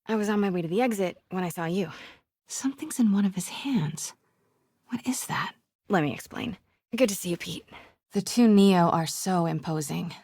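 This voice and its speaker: Young American woman reporter, video game heroine, very husky and breathy, close dry sound, wry, brave, thinking aloud. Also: on the low side, animated, normal speed, dry recording. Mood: hushed, urgent. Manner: wry, conversational, often hushed as if thinking aloud. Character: brave, quick-witted young woman reporter on a stealth mission. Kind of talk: naturalistic video game voice acting.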